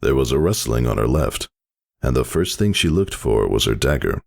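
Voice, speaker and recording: A man's voice: a bass voice, very animated, moderate pace, vocal fry. A man narrating a fantasy story in a dramatic, scripted reading style.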